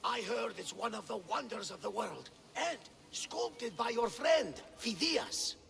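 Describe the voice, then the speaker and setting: An actor playing an excitable, devout older Greek man with a gravelly voice and a theatrical, reverent manner in a video game. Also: very high voice, unhurried, faint music behind, heavily acted. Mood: devout, earnest. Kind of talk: video game dialogue acting.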